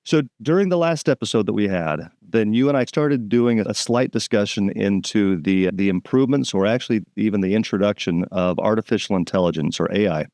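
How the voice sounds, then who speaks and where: A man's voice: on the low side, brisk, hardly any pauses, darkish tone, dry recording, studio quality. A man hosting a dental podcast, upbeat and friendly in his introductions and questions. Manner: upbeat, friendly, self-deprecating. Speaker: podcast host.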